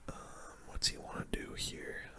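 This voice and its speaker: A game streamer whispering casual, slangy ASMR commentary in a breathy, unvoiced voice close to the microphone. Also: a little slow, sharp tone. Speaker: gaming video host.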